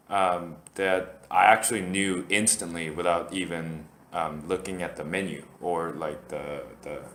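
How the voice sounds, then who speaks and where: A man's voice: sits low, echoey room. A man from Korea, hosting a casual solo podcast and rambling with lots of fillers and restarts. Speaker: podcast host.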